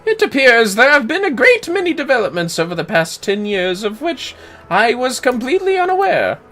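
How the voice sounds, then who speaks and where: An excitable gentleman inspector from a video game, pitched far up and swooping wildly. The delivery is pompous and grandiose, bright and forceful, every phrase a flourish. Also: normal speed, full character voice. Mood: flustered, earnest. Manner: flowery, theatrical, grandiose. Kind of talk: video game dialogue read aloud.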